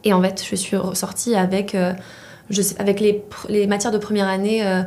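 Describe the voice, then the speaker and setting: A woman's voice: medium pitch, little pitch movement, brisk, a little mellow. Young woman, hesitant interview guest. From Poland. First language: Polish. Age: young adult. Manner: hesitant, many fillers and restarts. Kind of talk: casual interview.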